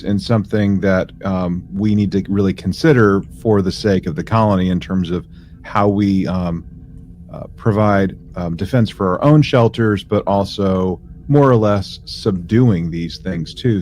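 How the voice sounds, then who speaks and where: A man co-hosting a podcast, giving a casual recap in a halting way, piecing his phrases together. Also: sits low, a little mellow, a little hiss or hum. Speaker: podcast co-host.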